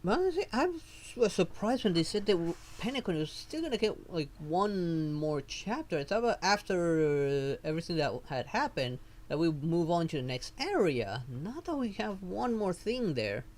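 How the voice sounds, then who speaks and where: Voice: medium pitch, big pitch swings, moderate pace, hardly any pauses. A streamer giving casual live gameplay commentary, rambling and thinking aloud.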